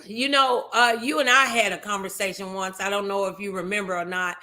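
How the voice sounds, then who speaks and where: A woman's voice: medium pitch, steady tone, fairly quick, sharp tone. A middle-aged American woman hosting a casual motivational talk show, speaking in an emphatic, encouraging way.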